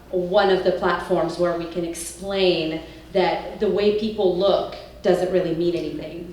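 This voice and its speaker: A woman from Morocco in her thirties speaks to an audience, earnest and enthusiastic, her voice set slightly low. She is picked up from a distance in a very echoey hall with faint noise behind. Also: a little mellow. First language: Arabic or French. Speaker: panel member, school teacher. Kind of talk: introductory talk to an audience. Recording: echoey room, distant mic.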